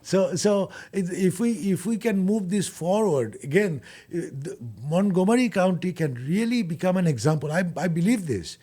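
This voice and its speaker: A man with a Bangladeshi accent being interviewed, explaining his work earnestly and haltingly, often repeating words. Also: high-pitched, expressive, dark tone. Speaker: guest, charity furniture bank leader.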